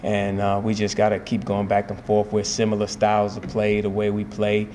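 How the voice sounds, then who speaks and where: A man in his forties, a head basketball coach, talking in a measured, steady way with many fillers and repeated phrases at a post-game press conference, speaking into table microphones. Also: fairly low pitch, slight background noise. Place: press room in front of a sponsor backdrop.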